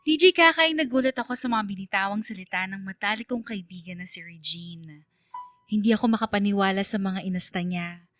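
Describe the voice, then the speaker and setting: A woman acts a sentimental young letter writer narrating heartbreak for radio drama. Her medium-pitched voice is plaintive and earnest. It is recorded dry, with soft music behind. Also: brisk, no echo, natural voice. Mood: hurt, wistful.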